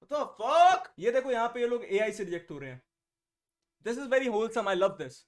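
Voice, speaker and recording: A young man talks to his live-stream audience in a strikingly high voice. He drawls his words slowly. His banter is profane, joking and loud. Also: lively intonation. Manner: loud, profane, joking, mixes Hindi and English, says bro a lot. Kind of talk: casual live-stream chat.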